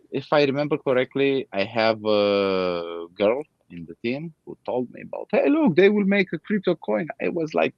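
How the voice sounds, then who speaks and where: A man in his thirties from Romania with a Romanian accent, speaking English as a second language in a casual interview, halting and searching for words. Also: mid-range voice, creaky, no room sound, muffled recording. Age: 30s. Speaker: guest.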